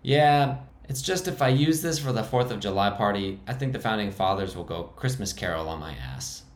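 A man's voice: fairly low pitch, expressive, normal speed. A man playing a fussy male survivor, snobbish and exasperated in his talk. His voice is a touch raised, echoing in a roomy space. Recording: roomy echo.

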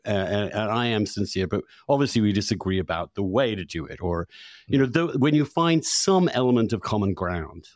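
A man hosting a conversational podcast, thinking aloud in a halting way and repeating words as he forms his point. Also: medium pitch, a little mellow, no room sound, studio quality. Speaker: podcast host. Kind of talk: casual conversational interview.

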